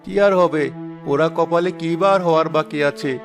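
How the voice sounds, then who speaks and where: A man voicing a poor, gentle, downcast village father in a cartoon, weary and plaintive. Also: on the high side, steady tone, normal speed, close to an everyday voice. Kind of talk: cartoon dialogue dub. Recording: music under the voice.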